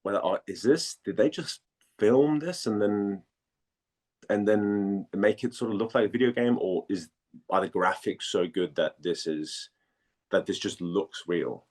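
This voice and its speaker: Very deep-voiced man, halting delivery. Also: darkish tone. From Denmark. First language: Danish. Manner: halting, searching for words, repeats phrases. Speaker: interview guest, voice actor. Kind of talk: casual interview chat.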